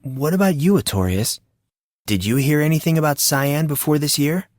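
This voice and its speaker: A man plays an earnest high school boy of fourteen. The voice sits higher and has a probing, slightly hesitant way of asking things, as in an audiobook drama. The sound is close and dry. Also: normal speed, darkish tone, no echo, close to an everyday voice. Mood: curious.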